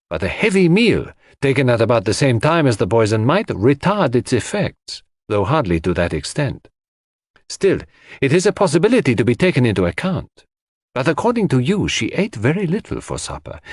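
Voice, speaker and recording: A man's voice: mid-range voice, husky, no room sound, studio quality, voice pushed up. A man voicing a fussy, shrewd older detective, precise and theatrical in an audiobook. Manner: precise, theatrical, formal phrasing. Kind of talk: audiobook character acting.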